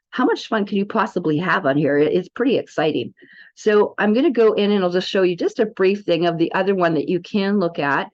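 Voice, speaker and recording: A woman giving an informal software demo as a practitioner, in a chatty, rambling, salesy manner. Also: on the low side. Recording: dull, muffled lo-fi sound.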